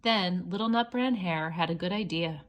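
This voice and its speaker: A woman's voice: lowish voice, normal speed, a little hiss or hum. A woman reading a children's picture book aloud, with simple explanatory asides for young listeners. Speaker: storybook reader.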